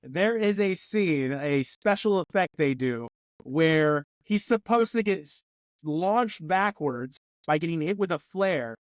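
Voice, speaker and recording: A man's voice: a little high, expressive, slow, no echo, clean studio sound. Rambling man on a movie podcast. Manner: rambling, filler-heavy, laughs often. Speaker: podcast co-host. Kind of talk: casual movie review chat.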